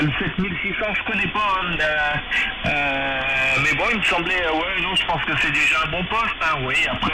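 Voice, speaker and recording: A man chatting casually as a radio operator over a two-way radio link, hesitant and searching for his words. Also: fairly high pitch. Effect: two-way radio transmission. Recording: very noisy radio transmission, heavy static.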